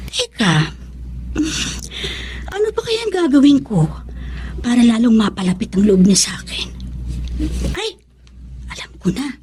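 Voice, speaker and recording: High, lively scheming college girl. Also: measured pace, long pauses, slight background noise. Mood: smug, scheming. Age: young adult. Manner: breezy, coaxing, Taglish. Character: scheming, chummy female student buttering up classmate. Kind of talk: Filipino radio drama acting.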